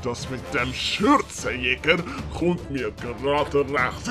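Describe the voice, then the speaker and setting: A woman playing a sly, smug gentleman villain in a comic audio play, mocking and theatrical. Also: rumbling low, big pitch swings, extremely slow, music in the background, pitched below the performer's own voice, full character voice.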